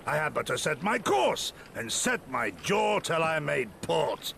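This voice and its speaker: A grandiloquent, gravelly fantasy voyager. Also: male voice, on the high side, big pitch swings, measured pace, fairly bright tone, a little hiss or hum, full character voice. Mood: proud, boastful. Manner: grandiloquent, theatrical, old-fashioned formal speech. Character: archaic-speaking proud, hardy foreign seafaring traveler man. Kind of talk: fantasy game voice acting.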